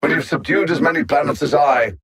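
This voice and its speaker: A man voicing a cold, arrogant alien conqueror in a video game, articulate, theatrical and condescending, with a husky voice. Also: fairly high pitch, brisk, fairly bright tone. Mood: smug menace. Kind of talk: video game villain voice lines.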